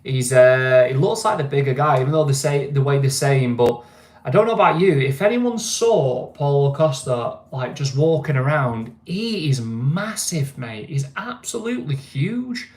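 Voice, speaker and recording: A man's voice: mid-range voice, animated, normal speed. Chatty Mancunian man, echoey room. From England. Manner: chatty, laid-back, keeps asking "you know what I mean". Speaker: vlogger. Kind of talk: casual live-stream chat.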